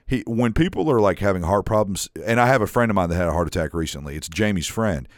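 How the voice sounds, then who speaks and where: A man with a very low voice tells a long, meandering story on a podcast, putting on the voices of the people in it. His talk is casual and full of fillers and the odd curse. The sound is close and dry, with no room around him. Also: animated, fast talker, no room sound. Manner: rambling storyteller, acts out others' lines, swears casually. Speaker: podcast co-host.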